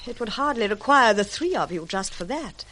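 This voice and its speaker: Composed but cutting fiancée, a bit high pitched, clipped and pointed, old radio drama. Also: female voice, lively intonation, moderate pace, pushed and bright. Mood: pointed, challenging. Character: sharp, defiant, composed female fiancée hiding guilt. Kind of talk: old-fashioned radio mystery acting.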